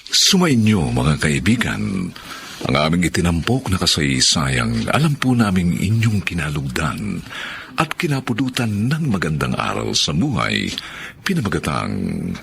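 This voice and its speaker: A man's voice: low-pitched, huge pitch swings, measured pace, vocal fry, fairly bright tone. A man announcing a Filipino radio drama, reading scripted closing narration and credits in a formal, dramatic style.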